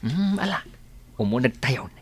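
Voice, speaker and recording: A man playing a kindly elderly father in a radio drama, with a husky, worn voice and a consoling manner. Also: medium pitch, sing-song, a little slow.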